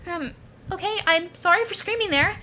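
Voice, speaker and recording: A woman acts out a courteous, concerned young lady in a comic reading. Her voice is high and bright, her manner proper and apologetic, with slight noise behind her. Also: moderate pace. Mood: apologetic, polite. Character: polite, concerned young woman named Jane, well-meaning.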